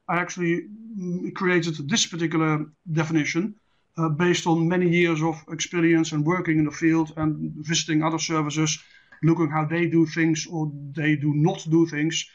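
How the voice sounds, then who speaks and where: A man with a Dutch accent, a native Dutch speaker, presenting an online lecture in a measured, deliberate, didactic way. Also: a little high. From Netherlands. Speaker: presenter, intelligence specialist. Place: online presentation with screen share. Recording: dull lo-fi online call audio.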